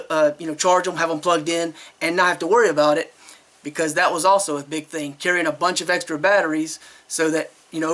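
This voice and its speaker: A man with a fairly high, very bright and forward voice talks through a product review. He is chatty and candid, wandering a little as he explains things in an unscripted, casual way.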